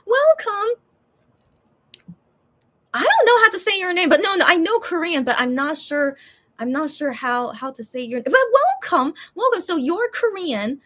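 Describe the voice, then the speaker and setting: A chatty woman talks straight to her viewers in a relaxed, casual catch-up. Her voice sits a little high. She wanders from thought to thought with plenty of restarts and fillers. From USA. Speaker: YouTuber, channel host.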